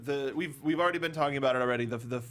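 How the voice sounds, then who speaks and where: A man hosting a casual panel discussion, talking in a joking, rambling and animated way. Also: mid-range voice, racing. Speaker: podcast host.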